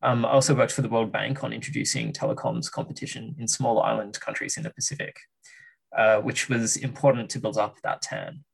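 A man in his thirties with an Australian accent, explaining policy as a podcast guest in a measured way, with frequent ums and uhs. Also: fairly low pitch, animated, vocal fry, slightly rough, rough recording. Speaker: research fellow, podcast guest.

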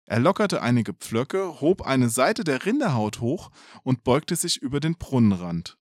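Lively male storyteller, very dry sound. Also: mid-range voice, measured pace, no echo. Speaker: podcast storyteller. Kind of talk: expressive read-aloud folk tale with casual asides.

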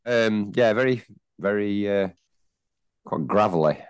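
A hoarse man, co-hosting a casual football podcast with dry, teasing banter. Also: on the low side, unhurried, dry recording. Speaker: podcast co-host. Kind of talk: casual chat.

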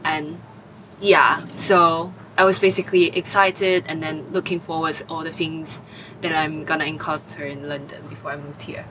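Low-voiced young woman from Taiwan. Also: level tone, moderate pace, background noise. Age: 20s. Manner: hesitant, many fillers. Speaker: interviewee. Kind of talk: oral history interview.

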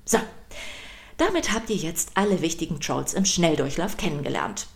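A woman's voice: low voice, animated, moderate pace, vocal fry. A woman hosting a children's podcast, reading scripted narration in a playful, emphatic storytelling manner.

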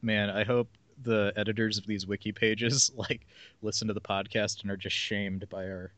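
A man's voice: lowish voice, level tone, normal speed, fairly bright tone, no room sound. A man hosting a podcast, chatting in an informal, agreeable, slightly rambling way. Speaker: podcast host. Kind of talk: casual chat.

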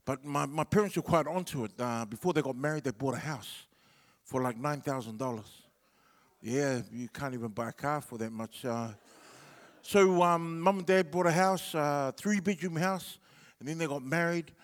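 A man's voice: fairly high pitch, moderate pace. Laid-back New Zealand man, echoing hall. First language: Samoan. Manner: laid-back and rambling, with many fillers. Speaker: comedian. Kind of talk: stand-up storytelling.

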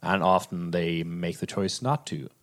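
Man, low-pitched voice, podcast co-host, casual film discussion, informal conversational phrasing. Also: fairly even intonation, measured pace.

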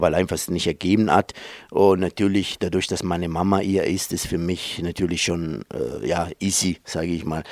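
A man's voice: deep voice, a little mellow, no room sound. A man in his forties from Italy with an Italian accent, answering interview questions in a hesitant way with many fillers. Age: 40s. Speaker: interview guest.

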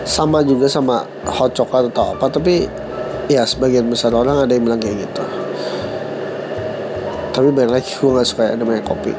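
A man's voice: medium pitch, steady tone, brisk, pauses often, background noise. A young man with a stuffy nose from a cold and a Jakarta slang accent, hosting a casual solo podcast and joking at his own expense. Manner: joking, self-mocking, laughs at own jokes. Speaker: podcast host.

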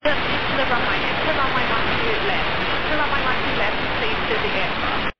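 A woman's voice: sits high, fairly quick. A woman working as an emergency ground controller, repeating urgent commands over radio. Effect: aviation radio. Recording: muffled, very noisy radio transmission.

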